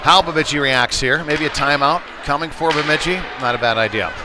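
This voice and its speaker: A man's voice: on the high side, fairly quick, noisy background. A man doing live play-by-play commentary of a hockey game, following the action in broken, trailing phrases. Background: arena music over public address.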